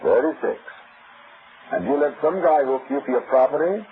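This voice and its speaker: An actor playing a wry, prying private detective, brusque and slangy with an American accent, in old-time radio drama style. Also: male voice, on the high side, normal speed, close to an everyday voice. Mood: brusque, skeptical. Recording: muffled narrowband old radio recording with hiss.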